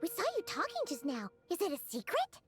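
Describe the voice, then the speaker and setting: An actress voicing a tiny, eager, chatty childlike companion in a video game, with a squeaky voice. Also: female voice, wildly swooping pitch, a little fast, a bit bright, faint music behind, exaggerated voice. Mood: excited, curious.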